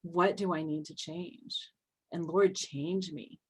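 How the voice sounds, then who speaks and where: A woman's voice: fairly low pitch, steady tone, takes it slowly, slightly rough. A woman co-hosting a Bible study discussion, talking casually and candidly. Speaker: Bible study co-host and group leader.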